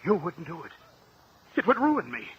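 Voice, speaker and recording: An actor playing a blustering, corrupt local politician who sounds cornered and exasperated, in a vintage radio crime drama. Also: male voice, fairly high pitch, huge pitch swings, normal speed, slightly rough, lo-fi sound, full character voice. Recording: noisy old radio drama recording.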